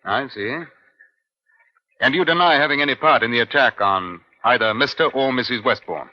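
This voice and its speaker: An actor playing a calm middle-aged police inspector in a 1950s radio crime drama, measured, formal and dryly probing. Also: male voice, medium pitch, natural voice. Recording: dull, band-limited old radio recording.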